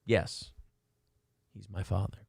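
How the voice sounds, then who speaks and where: A man's voice: sits low, flat delivery, takes it slowly, vocal fry, slightly rough, dry recording, studio quality. A man hosting a casual talk show, rambling and profane, breaking into silly quoted voices now and then. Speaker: podcast host.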